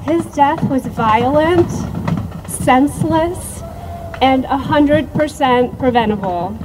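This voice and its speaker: Slow, grieving woman at a vigil. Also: sits high, music in the background, rough recording. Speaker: safety advocate. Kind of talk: speech at a vigil.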